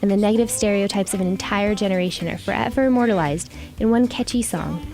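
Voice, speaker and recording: A woman's voice: mid-range voice, fast talker, a little mellow, soft background music. An American woman reading scripted narration as a student reporter.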